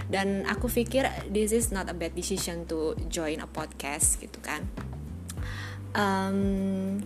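Chatty young woman over music. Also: mid-range voice. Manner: chatty, informal, often chuckling. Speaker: first-time podcast host. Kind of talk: casual solo podcast chat. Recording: music behind the voice.

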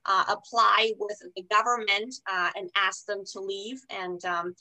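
A woman from Germany with German as her first language, a podcast guest telling her life story in a relaxed way, with many pauses and fillers. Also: mid-range voice, low-quality recording. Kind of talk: conversational interview.

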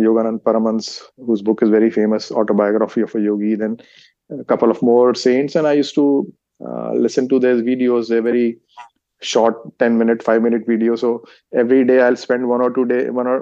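Middle-aged Indian man with an Indian English accent, Hindi first language, halting guest answers, dry close sound. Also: mid-range voice, flat delivery, warm tone, no echo, lo-fi sound. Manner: rambling, halting, strings together lists of places. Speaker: meditation teacher and author as guest. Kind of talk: interview answers.

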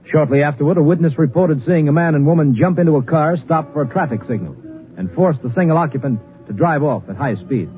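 An actor narrating a police drama as a sober case-file storyteller, formal and scripted, with an American accent. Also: male voice, on the high side, fast, over music, close to an everyday voice. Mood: grave, factual. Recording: muffled old radio broadcast recording.

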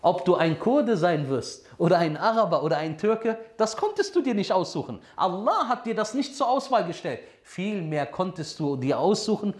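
German-speaking preacher in an echoey room. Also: male voice, high-pitched, sing-song, moderate pace, few pauses. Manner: asks the audience questions and answers them himself; mixes Arabic phrases into his talk. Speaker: Islamic preacher. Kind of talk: sermon lesson to a congregation.

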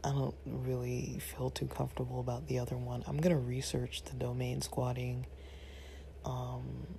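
A raspy man rambles to his viewers from home, his gravelly voice dropping into soft half-whispers. His tone is flat and mellow, and faint noise sits behind him. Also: medium pitch, moderate pace. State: a bit unwell, rested. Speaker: channel host. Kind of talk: casual monologue to viewers.

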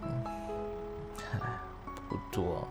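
An actor playing a tipsy, unwell young male celebrity in a Chinese audio drama, mumbling politely and haltingly. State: drunk, dizzy, weak. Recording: loud music bed under the voice.

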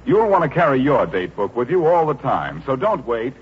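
Uomo, annunciatore radio anni '40 molto espressivo. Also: male voice, mid-range voice, very animated, normal speed, slightly rough. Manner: polished, courteous delivery. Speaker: radio announcer. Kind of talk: 1940s radio sponsor announcing. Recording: noisy, muffled 1940s radio recording.

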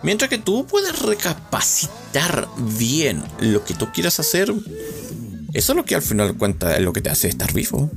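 A man's voice: a little high, wildly swooping pitch, normal speed, gravelly. Man, Chilean accent, casual podcast host, rambling and self-mocking, faint music underneath.

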